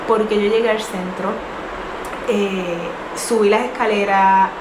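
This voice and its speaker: A woman's voice: mid-range voice, fairly even intonation, a little slow. Earnest young woman telling a story. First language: not English. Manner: earnest, many fillers and restarts. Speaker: vlogger. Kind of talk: personal storytelling. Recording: echoey room with noise.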